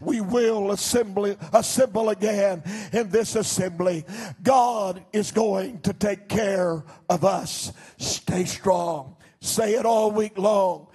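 An older man preaching a sermon as pastor from a church stage into a handheld mic, swinging between shouted declarations and low, quiet passages. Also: slow pace, husky. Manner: fervent preaching, rising to shouts then dropping low.

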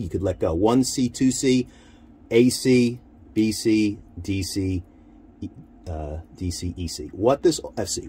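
Low-voiced man, halting hands-on demonstration. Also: darkish tone, husky. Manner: halting, thinking aloud while handling gear. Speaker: radio hobbyist demonstrating equipment. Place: inside a military-style off-road vehicle. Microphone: handheld camera, one hand free. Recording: noisy handheld camera audio.

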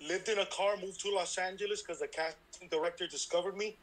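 A man's voice: high-pitched, a little fast, rough recording. A man in his thirties, born in Cuba and raised in Miami, telling his life story frankly and with energy as an interview guest. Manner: energetic, frank and self-deprecating. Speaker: baseball instructor guest.

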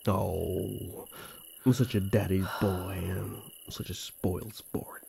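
A man's voice: low-pitched, level tone, takes it slowly, gravelly, mellow, slight background noise, heavily acted. A man voicing a playful, cocky young elf prince with a teasing, courtly way of talking, in a fantasy audio roleplay. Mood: mocking.

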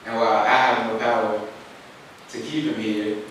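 A man's voice: on the low side, flat delivery, a little slow, a bit bright, slight background noise. A young man giving an earnest, plainspoken public comment at a council meeting. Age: young adult. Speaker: youth commission member. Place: council chamber. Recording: echoey far-off chamber audio.

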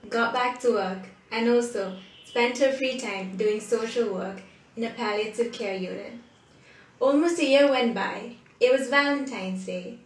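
A young woman reads a story as narrator, her voice slightly high. The bare room gives it a clear echo, as if picked up by a camera a little way off. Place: indoor room with bare walls. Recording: echoey room, mic at a distance.

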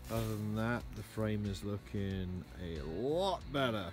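A man narrating a DIY trailer project in a casual how-to style, relaxed and full of "uh" and "um", recorded outdoors in a yard on a camera mic. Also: fairly low pitch, measured pace, faint music behind. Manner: relaxed, lots of "uh" and "um". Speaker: DIY vlogger.

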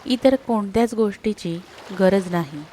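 A woman narrating a scripted sleep meditation in a soothing, unhurried manner, leaving long pauses between short phrases. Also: medium pitch, animated, dark tone, slight background noise.

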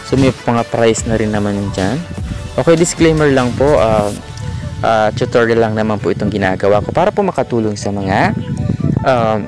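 A man with a Filipino accent hosting a screen-recorded app tutorial, talking in a relaxed way and leaning on fillers like "ayan" and "okay". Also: on the low side, normal speed. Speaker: tutorial host. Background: background music.

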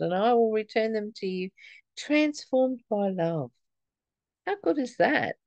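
A woman's voice: medium pitch, a little mellow, no echo, highs cut off. A woman reading aloud from a spiritual book and adding informal comments, reverent and emphatic, lingering over phrases. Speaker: spiritual study reader.

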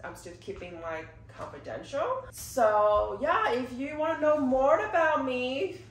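A woman's voice: high voice, very animated, measured pace. A congested woman recovering from a cold, chatting casually to her viewers in a filler-heavy way while seated in her living room. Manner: chatty, frequent "like" and "you know" fillers. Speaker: luxury unboxing vlogger. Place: living room, seated behind gift boxes. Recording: echoey room, slightly distant mic.

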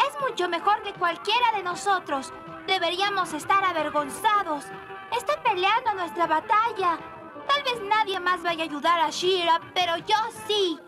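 A woman's voice: extremely high pitch, normal speed, music in the background. A woman with a Latin American Spanish accent voicing an earnest, brave little village girl in a dramatic cartoon dub. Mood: earnest, ashamed. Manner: earnest, urgent. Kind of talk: dramatic dubbed cartoon acting.

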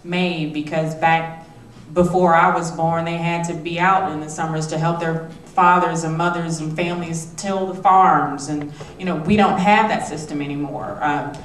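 A woman's voice: deep voice, steady tone, moderate pace. A woman, a state legislator, giving earnest answers on a panel through a handheld mic. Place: theater stage. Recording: roomy, distant, faint noise.

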